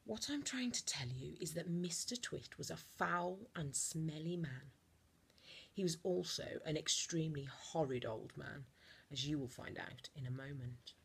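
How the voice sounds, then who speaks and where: Female storyteller for a children's book, low and very breathy-husky, expressive, with theatrical stresses and pauses. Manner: theatrical emphasis with deliberate dramatic pauses. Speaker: teacher reading a storybook to children.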